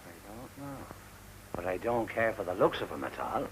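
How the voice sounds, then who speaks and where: An actor playing a gruff, fussing old sailor minding shipwrecked children, colloquial and scolding yet tender, in a classic film. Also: male voice, fairly low pitch, very animated, moderate pace, very noisy. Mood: uneasy, reassuring.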